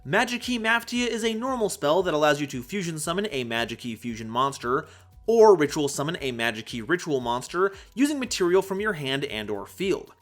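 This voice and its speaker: Animated, higher-voiced man narrating. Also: a little fast. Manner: animated, playful, emphatic delivery. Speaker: host of a card game analysis video. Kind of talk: scripted explainer narration with jokes. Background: background music.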